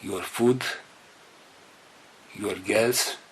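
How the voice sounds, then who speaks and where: Very slow, low-voiced earnest man. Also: a little hiss or hum. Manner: halting, earnest, phrases broken by pauses. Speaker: spiritual group leader. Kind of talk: spiritual address to group members.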